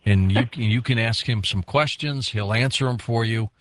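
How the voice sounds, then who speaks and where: A man's voice: mid-range voice, normal speed. A man in his fifties hosting a radio talk show, asking his guest curious, chatty questions. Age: 50s. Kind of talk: radio interview.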